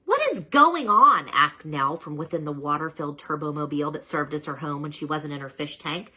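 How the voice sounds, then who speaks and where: A woman reading a children's story aloud in an animated narrating style. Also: low voice, brisk. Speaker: storybook reader.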